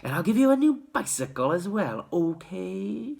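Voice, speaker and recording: Give me a reader playing a sly tall storybook man, whispering in a hushed, coaxing way. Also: high-pitched, sing-song, normal speed, gravelly, slightly rough, pitched above the performer's own voice, full character voice.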